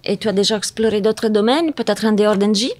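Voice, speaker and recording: A woman with a voice of middling pitch leads a corporate videocast interview. She moves briskly through her list of questions, friendly and to the point. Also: a little mellow.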